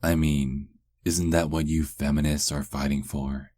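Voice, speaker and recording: A man's voice: very deep voice, little pitch movement, a little slow, dark tone, no room sound. A man playing a cocky, sarcastic young adult werewolf held captive, in an ASMR roleplay with a taunting, sardonic drawl. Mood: taunting, smug.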